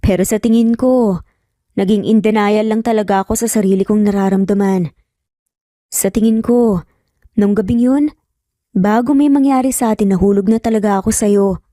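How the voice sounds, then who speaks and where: A woman voicing an earnest, teasing young male engineer in an audio romance story, speaking gently and sincerely with bits of English mixed in. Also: medium pitch, steady tone, normal speed, pauses often, no room sound, studio quality, close to an everyday voice. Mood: tender, sincere.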